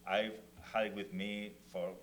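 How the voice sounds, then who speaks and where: A man from Spain with a Spanish accent, speaking as a panelist to students, halting and full of fillers and restarts. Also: fairly low pitch, level tone, a little hiss or hum. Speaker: festival panelist, comics author. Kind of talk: panel talk on stage. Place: university hall, in front of students. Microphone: lavalier clip mic.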